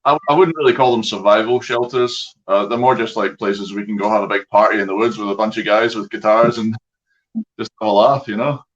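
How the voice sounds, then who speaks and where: A man's voice: lowish voice, fairly even intonation, brisk. A man with a Scottish accent chatting casually and easily as a guest. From Scotland. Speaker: guest, outdoors video creator. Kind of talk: casual chat. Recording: dull, muffled online call audio.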